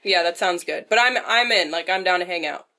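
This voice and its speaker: A woman's voice: lowish voice, brisk, bright, forceful tone. Nasal young woman chatting candidly. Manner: candid, self-deprecating, swearing, dry joking. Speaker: vlogger. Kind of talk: casual chatty Q&A vlog, answering viewer questions.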